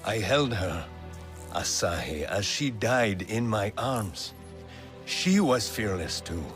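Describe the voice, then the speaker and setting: An actor playing a stern, grieving middle-aged male alien clan elder in a video game cutscene, speaking in a grave, measured way. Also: fairly low pitch, big pitch swings, fairly bright tone, soft background music, full character voice.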